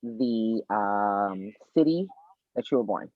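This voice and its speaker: A man from Miami, Florida, explains birth charts as a podcast guest astrologer. He talks with lively, teacherly energy and circles back to repeat his main points. His voice comes through a tinny, phone-like line that sounds dry and close. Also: on the low side, takes it slowly, no echo.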